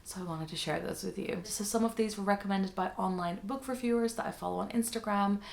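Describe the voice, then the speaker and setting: A woman's voice: mid-range voice, quick pace, vocal fry, a little hiss or hum. A young woman from the Netherlands, a native Dutch speaker, talking casually and chattily to viewers in a book haul vlog. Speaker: book reviewer and video host.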